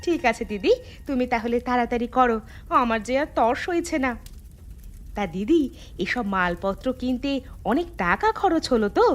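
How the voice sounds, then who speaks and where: Woman voicing an eager high-pitched cartoon village neighbour. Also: lively intonation, moderate pace, a little hiss or hum, lightly acted. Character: eager, chatty, nosy village housewife and neighbour. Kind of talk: animated cartoon dialogue.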